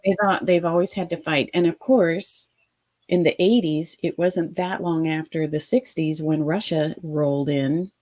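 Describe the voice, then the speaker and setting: A woman from Ohio in her fifties recounts her past as an interview guest. Her voice sits a little low, and she slips into quoted thoughts with animated energy. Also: measured pace. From Ohio, USA. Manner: lively, animated retelling with quoted asides. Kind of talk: storytelling interview.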